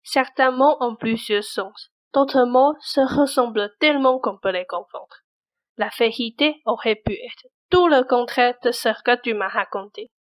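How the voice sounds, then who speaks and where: A woman playing a blunt but caring confidante in a French radio play, speaking in a brisk, insistent, colloquial way. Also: a little high, lightly acted. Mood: earnest, persuasive. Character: blunt, pushy but caring female confidante urging Cinderella onward.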